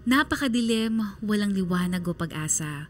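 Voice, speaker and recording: A woman's voice: mid-range voice, animated, moderate pace, a little hiss or hum, close to an everyday voice. An actress playing a sorrowful daughter who earnestly narrates her family's story in a radio drama. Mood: heartbroken.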